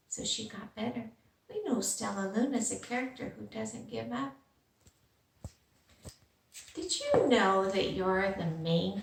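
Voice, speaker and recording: A woman's voice: fairly high pitch, vocal fry, roomy sound, distant mic, poor audio. A woman reading a children's story aloud and putting simple questions directly to young listeners. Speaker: teacher reading a picture book aloud to children.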